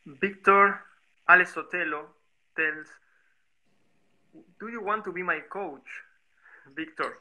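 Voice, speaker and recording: A male interviewer with a very bright, sharp, slightly high voice, a native Spanish speaker. He puts questions in halting English in an informal online interview, often searching for the right word. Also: low-quality recording. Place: video call on social media live stream. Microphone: video call.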